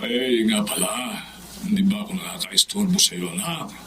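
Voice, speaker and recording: A man's voice: medium pitch, animated, normal speed, crowd noise. A Filipino actor playing an ailing, tender middle-aged father in a radio drama, reassuring and given to sighs. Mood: proud, tender. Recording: clear background noise.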